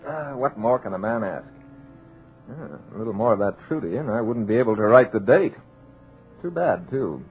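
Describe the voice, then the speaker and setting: A wry, uneasy scriptwriter. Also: male voice, fairly low pitch, animated, normal speed, a little hiss or hum, low-quality recording. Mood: breezy. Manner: folksy, musing aloud, wry asides. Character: wisecracking young male scriptwriter, nervous underneath. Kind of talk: 1940s radio acting.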